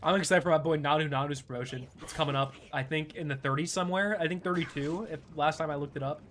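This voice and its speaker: A young man streaming a game, chatting casually in a laid-back, chuckling way with a fairly high-pitched voice. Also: quick pace, fairly bright tone, a little hiss or hum. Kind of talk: casual live gameplay commentary.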